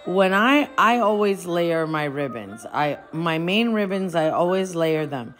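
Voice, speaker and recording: A woman's voice: lowish voice, lively intonation, unhurried, soft background music. A woman, chatting and thinking aloud as she gives a casual decorating demonstration. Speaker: home decor vlogger. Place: furnished living room at home, indoors.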